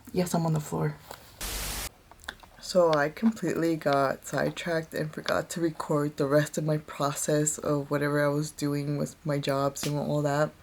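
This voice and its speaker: A woman of twenty-nine speaks in a casual personal vlog. Her voice sits a little on the low side, and she talks in a candid, reflective way, as if thinking through her own life. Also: slight background noise. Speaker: vlogger.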